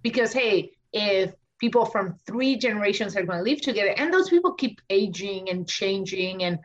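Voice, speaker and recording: A woman with an Argentine Spanish accent speaks at a middling pitch, thinking aloud in a loose, searching way and circling back on her sentences. The recording is a little dull and muffled. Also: lively intonation, normal speed. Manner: thinks aloud with many fillers and restarts. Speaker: architect and author. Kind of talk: podcast interview. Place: home studio in Washington DC.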